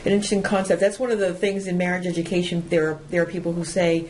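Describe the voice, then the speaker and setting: A woman's voice: on the low side, level tone, fast talker, a little mellow, a little hiss or hum. A woman from Massachusetts with a light New England accent, co-hosting a casual chat and laughing often as she talks. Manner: chatty, laughs often, restarts sentences. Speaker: podcast co-host.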